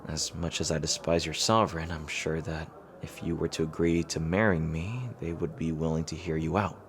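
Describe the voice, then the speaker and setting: A low, deep male voice of an actor plays a rival king hopelessly in love with his enemy. He speaks earnestly and haltingly, close and intimate as in a fantasy romance roleplay. Some noise sits behind the voice. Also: level tone. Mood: earnest, hopeful. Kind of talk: romantic audio roleplay acting, intimate ASMR.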